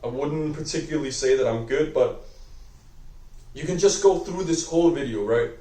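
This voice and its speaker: A man's voice: a little high, normal speed, mellow, far from the mic. Young man, Danish accent, rambling, lots of "like", roomy echo, mic set back. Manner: rambling, heavy use of "like", self-correcting. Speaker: vlogger. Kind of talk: unscripted talk to camera. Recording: echoey room, voice off-mic.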